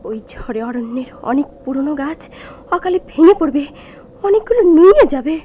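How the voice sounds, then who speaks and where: High-pitched anguished woman. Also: big pitch swings, moderate pace, a little hiss or hum. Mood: anguished, defiant. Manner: emotional, theatrical, intense. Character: anguished, restless woman torn between past and present. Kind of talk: melodramatic radio drama acting. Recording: muffled old radio play recording.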